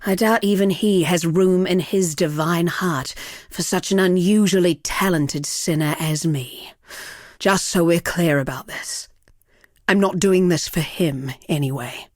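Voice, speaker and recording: World-weary villainess, proud, sardonic, lowish voice, theatrical, brooding, video game dialogue, very dry close recording. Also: female voice, unhurried, darkish tone, dry recording. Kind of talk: dramatic scripted game dialogue.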